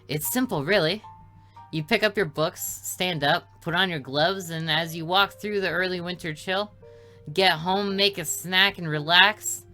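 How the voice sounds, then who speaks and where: A woman's voice: fairly low pitch, bright, forceful tone. A woman reading a visual novel aloud as a let's player, mixing narration with casual commentary. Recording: music behind the voice. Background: game music.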